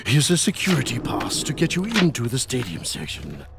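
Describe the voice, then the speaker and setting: An actor playing an obese, scheming male crime boss with a gravelly, sly voice that drawls and tags lines with a coaxing "eh?" in a video game cutscene, loud music behind. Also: mid-range voice, huge pitch swings, moderate pace, very noisy. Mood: oily.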